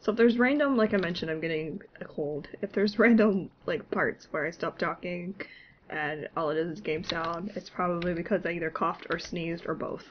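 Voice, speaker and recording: A woman's voice: fairly low pitch, moderate pace, lo-fi sound, a little hiss or hum. A woman with a cold and a congested voice, chatting casually and laughing at herself while she plays. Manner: chatty, laughing, self-deprecating. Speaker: gaming YouTuber. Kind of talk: casual gameplay commentary. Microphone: desktop mic, no webcam.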